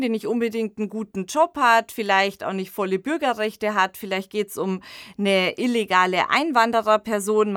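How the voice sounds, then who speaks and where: A woman's voice: mid-range voice, fairly bright tone, no echo, studio quality. A woman co-hosting an analytical discussion, explaining reflectively in a conversational way and often tagging phrases with "ne". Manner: explanatory, reflective, frequent "ne" tags. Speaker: podcast co-host and expert commentator.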